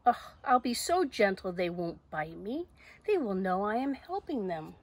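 Bold little storybook girl played by a woman, big dreamer, emphatic and defiant, lifted pitch with a husky edge. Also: sing-song, moderate pace. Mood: eager.

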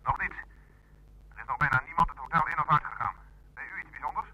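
An actor playing an eager, businesslike police sergeant reporting over a police radio in a radio drama. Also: male voice, on the high side, fast talker, bright, forceful tone, slightly rough, exaggerated voice, poor audio. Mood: alert. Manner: clipped, businesslike. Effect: police radio filter.

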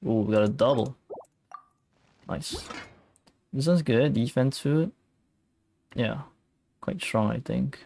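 Slow, creaky-voiced male game streamer. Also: medium pitch, expressive, a little mellow, a little hiss or hum. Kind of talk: casual gameplay commentary. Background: game music.

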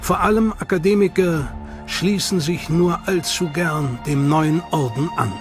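A male narrator reads a documentary script with dramatic, suspenseful emphasis. His voice is notably high for a man. Clear music runs beneath his studio voice-over. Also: unhurried. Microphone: studio mic.

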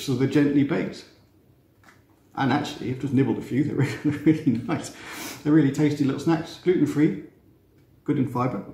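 A man, teaching a relaxed cooking demonstration in a chatty, self-mocking way with playful asides. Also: medium pitch. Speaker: cooking instructor. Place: glass-walled kitchen room facing a garden. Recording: roomy echo, faint background noise.

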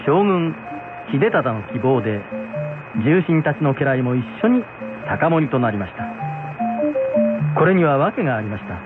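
A man's voice: medium pitch, big pitch swings, normal speed, long pauses. A middle-aged man narrating a scripted folk tale as storyteller and host. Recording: muffled phone-like sound, background music.

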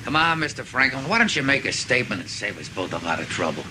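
An actor playing a dogged, deferential police detective, speaking in halting, folksy, musing phrases. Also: male voice, medium pitch, very animated, lightly acted. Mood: firm, pressing. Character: dogged, deferential, rumpled male police detective closing in on a killer. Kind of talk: dramatic dialogue acting. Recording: noticeable background noise.

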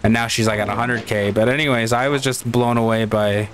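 A Canadian man with a Canadian accent giving casual, excitable let's-play commentary, swearing and laughing often. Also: fairly low pitch, brisk, soft background music. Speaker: gaming channel host.

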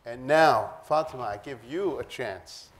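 A middle-aged man moderating a panel discussion from an auditorium stage. He introduces speakers in a friendly, halting way, filling pauses with "um" and "uh", heard through a lapel mic. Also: medium pitch, a little hiss or hum. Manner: friendly and halting, with many fillers.

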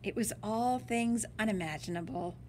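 A woman's voice: mid-range voice, moderate pace, darkish tone, a little hiss or hum. A woman reading a children's picture book aloud like a teacher, drawing out words for dramatic emphasis. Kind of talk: expressive storybook read-aloud.

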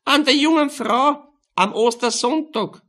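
A man's voice: very high-pitched, unhurried, bright, forceful tone, pitched above the performer's own voice, heavily acted. A man voicing a confused, insistent woman of nearly eighty in an audiobook. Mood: earnest, insistent.

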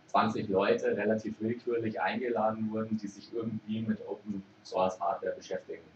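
A low-voiced man from Germany gives a relaxed conference talk, thinking aloud and pausing on fillers as he goes. He sounds far from the microphone in an echoey room, and the recording is muffled. Steady noise sits under his voice. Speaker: conference speaker. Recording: echoey hall, distant mic, muffled.

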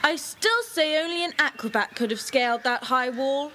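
A woman's voice: high voice, moderate pace, pushed and bright, a little hiss or hum. A tender-hearted young girl.

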